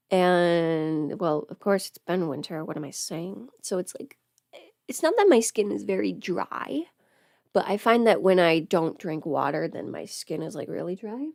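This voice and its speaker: A woman's voice: fairly low pitch, gravelly, a little mellow, no echo, clean studio sound. A woman livestreamer chatting casually while getting ready, rambling and interrupting herself as she thinks aloud.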